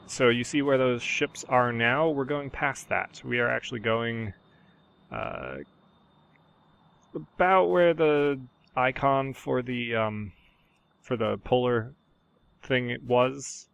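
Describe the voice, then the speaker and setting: Sore-throated man with a gravelly voice. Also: mid-range voice, takes it slowly, pauses often. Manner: relaxed, rambling, many ums and uhs. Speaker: gaming channel host. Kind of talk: casual gameplay voiceover commentary. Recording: steady background noise.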